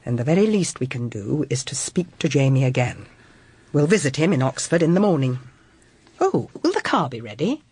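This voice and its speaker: An actress playing a well-bred, principled English woman detective of middle age in a radio drama, composed, measured and authoritative. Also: very deep voice, big pitch swings, a little mellow, slightly rough, dry recording, exaggerated voice. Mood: firm, measured. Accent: upper-class English. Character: well-bred, principled female private detective, diplomat's wife. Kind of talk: British radio drama acting.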